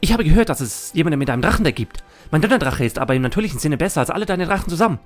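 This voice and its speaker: A man's voice: fairly high pitch, animated, racing, soft background music, pitched above the performer's own voice, lightly acted. A man voicing a cocky, sneering duelist who taunts and boasts, in an anime fan audio drama. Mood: boastful. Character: cocky, sneering male Thunder Dragon card duelist.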